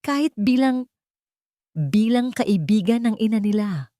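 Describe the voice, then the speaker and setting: A man's voice: high-pitched, lively intonation, a little slow, mellow, no echo, pitched above the performer's own voice. Woman as regretful ex-husband, emotional, hesitant, pleading, audio romance drama, close dry sound. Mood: desperate pleading.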